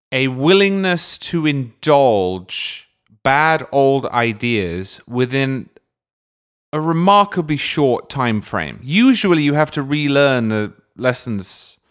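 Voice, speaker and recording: A man hosting a podcast, asking long, discursive questions with measured pauses. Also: medium pitch, fairly bright tone, clear, resonant tone. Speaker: podcast host. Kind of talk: long-form political interview.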